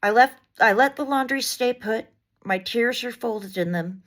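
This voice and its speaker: A woman reading poetry aloud as dramatic spoken word, in an intense, deliberate delivery of short, weighted phrases. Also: fairly high pitch, a bit bright. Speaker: poet reading poems aloud.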